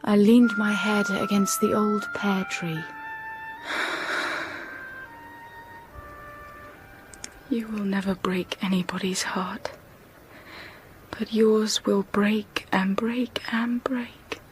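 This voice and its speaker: An actress playing a guarded, lonely, quietly yearning young schoolteacher in a radio drama, speaking in a hushed, inward way. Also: female voice, medium pitch, steady tone, takes it slowly, soft background music, close to an everyday voice. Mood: brooding. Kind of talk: radio drama acting and first-person narration.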